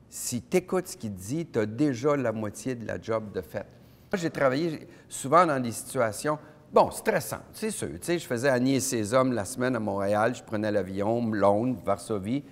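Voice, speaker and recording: A middle-aged man with a Québécois French accent giving a lively conference talk, telling anecdotes with punchy sound words. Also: fairly low pitch. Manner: animated storyteller with sound words. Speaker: keynote speaker. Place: event hall at a winery. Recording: long reverb of a hall.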